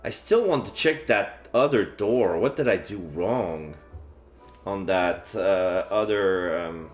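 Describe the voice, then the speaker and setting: Guy streaming a visual novel, reads it out and chats casually, lowish voice, bit of game music behind. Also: lively intonation, slow. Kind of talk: reading game text aloud with casual asides.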